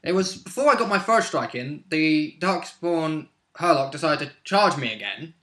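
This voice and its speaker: A man with a bright voice pitched a little high chats as a tabletop role-playing player. He recounts his game casually and is given to chuckling as he talks. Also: lively intonation, moderate pace. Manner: chuckles often while talking. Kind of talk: casual chat recapping a game.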